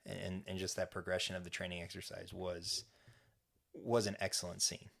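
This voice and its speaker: Deep-voiced man, rambling podcast recap. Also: completely flat delivery, moderate pace, gravelly, fairly bright tone. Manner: rambling, many restarts and fillers. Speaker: podcast co-host. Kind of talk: casual fan recap chat.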